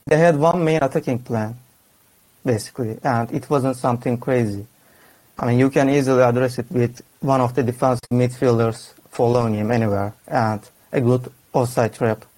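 A man, a football fan guest, giving a measured, subdued, detailed analysis of his team's poor games. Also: mid-range voice, steady tone, darkish tone. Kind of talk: analytical casual chat.

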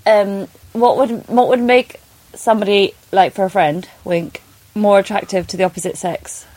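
A woman's voice: medium pitch, steady tone, normal speed, a little mellow, no echo, low-quality recording. An Englishwoman whose first language was Polish, a guest in casual banter, blunt and teasing. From England.

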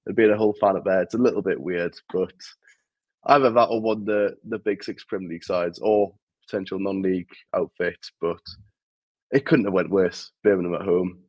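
A man's voice: deep voice, fairly even intonation, fairly quick, no echo, dull audio. A man with a Geordie accent, co-hosting a casual football podcast, frank and self-deprecating. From Newcastle, England. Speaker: podcast co-host.

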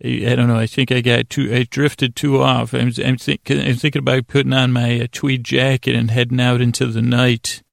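A man hosting a sleep podcast in a casual, meandering monologue, halting and stammering with self-deprecating asides. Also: medium pitch, flat delivery, vocal fry, dry recording, clean studio sound. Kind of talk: rambling, casual bedtime monologue.

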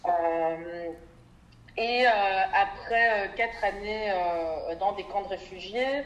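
A woman's voice: mid-range voice, slow, highs cut off. A woman, giving thoughtful, articulate answers as an interview guest. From Belgium. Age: 30s. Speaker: interview guest, development NGO worker. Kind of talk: reflective personal interview answers. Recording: echoey room with clear background noise.

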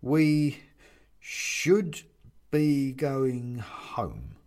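A man's voice: a little high, very animated, a little slow, a little mellow, voice pushed up. A small, deferential toy man from a storybook, put on by a male reader. He speaks in a raised, lilting voice, politely and hesitantly, as if apologising. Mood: careful, reluctant. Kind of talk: storybook character reading.

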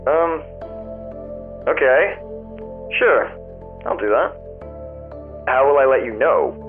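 Affable phone tester, halting and wry, animated pitch, tinny telephone sound, audio drama. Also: male voice. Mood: obliging, polite. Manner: halting, vague, literal-minded, politely bemused. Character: hesitant, affable, wry man who tests the tower phones. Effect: telephone filter. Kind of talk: naturalistic audio drama dialogue. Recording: thin phone-line sound, music underneath.

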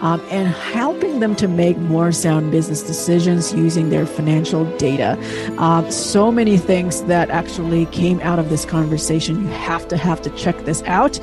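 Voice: mid-range voice, moderate pace. A podcast host giving an upbeat, enthusiastic introduction of the guests, full of fillers and "right?". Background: background music.

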